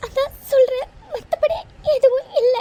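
An actress playing a young orphaned woman who talks through tears, halting and repeating her words. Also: very high voice, noisy background. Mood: tearful. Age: young adult. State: crying. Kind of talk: romantic drama acting.

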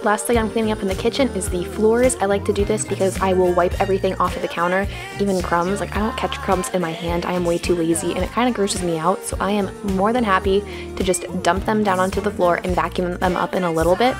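A woman's voice: mid-range voice, steady tone, fast. A young woman in her twenties, chatting casually and asking her viewers questions while narrating a clean-with-me video at home. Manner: chatty, upbeat, asks viewers many questions. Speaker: lifestyle vlogger. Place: home, kitchen and bathroom. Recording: narration over loud background music.

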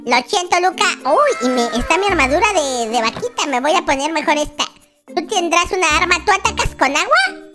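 A performer voicing an excitable, boastful baby boy in a pitched-up voice for a playful children's gaming roleplay. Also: a little fast. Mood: chatty. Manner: exclaiming, repetitive, childlike. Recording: music behind the voice.

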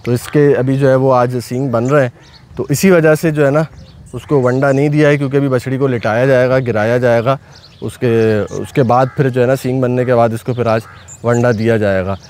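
A young man with a Pakistani Urdu accent talks from behind the camera in an outdoor farm yard. His voice sits at a middle pitch, and he speaks with eager, proud enthusiasm, often repeating blessings. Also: steady tone, normal speed, darkish tone. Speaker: cattle farm owner. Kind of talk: casual vlog narration.

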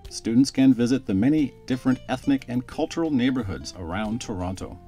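A man reading a scripted promotional voice-over in a smooth, even delivery. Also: medium pitch, a little fast, faint music behind. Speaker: promo narrator.

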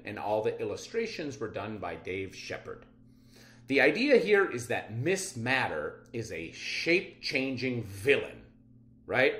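A man's voice: fairly low pitch, a bit bright, slight background noise. A middle-aged man teaching a children's reading lesson, explaining expressively from an indoor room. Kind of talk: instructional read-aloud lesson for children. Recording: roomy echo.

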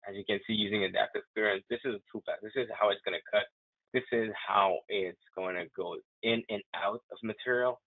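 A man walking through a live software demo, enthusiastic and chatty, often restarting his sentences. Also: fairly low pitch, steady tone, a little fast, dry recording. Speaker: software demonstrator. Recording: tinny phone-like, dry audio.

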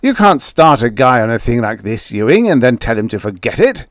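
A man's voice: mid-range voice, sing-song, brisk, dry recording, clean studio sound, voice pushed up, close to an everyday voice. A man voicing a greedy, desperate schemer who presses and wheedles. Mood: greedy pushing. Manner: pushy, wheedling.

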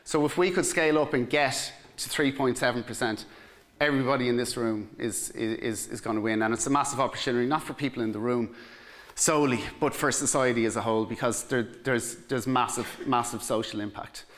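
A man's voice: mid-range voice, brisk. A man in his forties with an Irish accent, giving the closing words of a talk on a conference stage. He talks with his hands and often starts with "look" or repeats a word before going on. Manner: animated, gesturing, keeps saying "look" and restarting words. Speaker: conference speaker. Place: stage of a large conference hall.

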